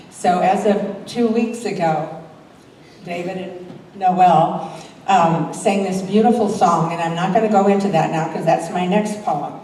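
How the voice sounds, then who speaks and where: A woman's voice: mid-range voice, dark tone. An older woman introducing and reciting her own poetry to a live audience at a church talent show. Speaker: amateur poet reading own poems. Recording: very echoey hall, distant mic, faint noise.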